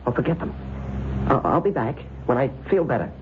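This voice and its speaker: An actor playing a frightened, haunted novelist who speaks in an agitated, halting stammer, in old radio drama style. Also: male voice, mid-range voice, sing-song. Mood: shaken. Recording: noisy, narrow-band old radio recording.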